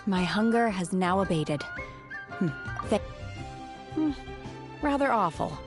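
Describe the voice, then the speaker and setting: A woman's voice: mid-range voice, lively intonation, takes it slowly, music in the background. A woman playing an aloof, blunt young woman from the mountains, with a formal, stoic manner, in an anime video game. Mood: detached.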